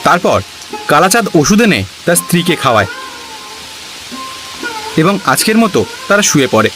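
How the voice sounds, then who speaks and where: A man's voice: fairly high pitch, big pitch swings, normal speed, over music, rough recording. A man narrating a scripted cartoon story as a storyteller.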